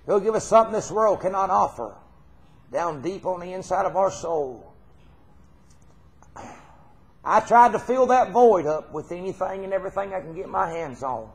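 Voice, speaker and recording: A man's voice: sits high, animated, fairly quick. A middle-aged man with a Southern US accent preaching an informal, folksy, earnest sermon, repeating phrases for emphasis. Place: church hall stage, indoors.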